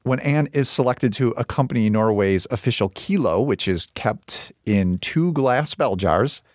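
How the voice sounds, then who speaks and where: A man's voice: medium pitch, lively intonation, measured pace, darkish tone, no room sound, clean studio sound. A man co-hosting a film review podcast, reading a listener's letter and reviewing in casual chat.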